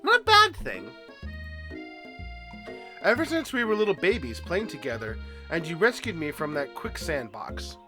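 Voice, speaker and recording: Casting: a host doing an adorably awkward young woman, nervous and fretful, squeaky and high, lively pitch that jumps around, with faint guitar music in the background. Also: moderate pace, long pauses. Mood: fond. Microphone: headset.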